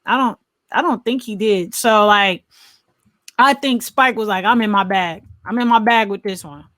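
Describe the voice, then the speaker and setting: A woman co-hosting a podcast, talking through films casually in an animated, rambling, think-aloud way. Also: medium pitch, moderate pace, dry recording. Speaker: podcast co-host. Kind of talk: casual film discussion.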